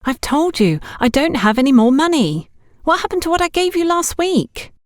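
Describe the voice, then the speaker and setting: A woman's voice: high voice, big pitch swings, moderate pace, no echo, clean studio sound, pitched above the performer's own voice. A woman voicing an exasperated young saleswoman in an audiobook, complaining with dramatic indignation about a scrounging boyfriend. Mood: exasperated, indignant. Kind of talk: audiobook character dialogue.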